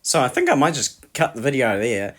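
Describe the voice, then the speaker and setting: A man in his thirties with a New Zealand accent, narrating a casual daily vlog as a single dad, his voice low and rough from a cold, laid-back and self-mocking with frequent chuckles. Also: huge pitch swings, brisk, a bit bright, dry recording.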